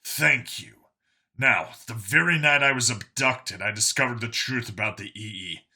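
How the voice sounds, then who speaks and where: A man's voice: medium pitch, normal speed, bright, forceful tone. A man voicing an exasperated male cop in a story, earnestly insisting on explaining himself. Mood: earnest, insistent.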